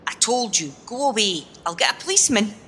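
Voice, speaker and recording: A woman playing a feisty, sceptical but kind-hearted working woman in an amateur radio play, brisk and incredulous in manner. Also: on the high side, expressive, fairly bright tone, slight background noise. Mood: irritated, wary.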